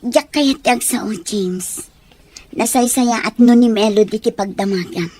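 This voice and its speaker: A woman's voice: fairly high pitch, measured pace, mellow. A woman playing an outspoken, concerned best friend in an audio soap drama, pressing for answers emphatically. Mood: guarded, confiding. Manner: emphatic, probing.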